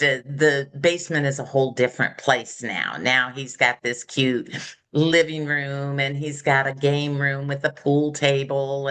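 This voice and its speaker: An older American woman speaks as a podcast guest in a clear-cut, forceful tone. Her pace is unhurried and slightly slow. She shares reflective personal stories candidly, slipping into quoted dialogue as she goes. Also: a bass voice. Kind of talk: reflective personal storytelling in conversation.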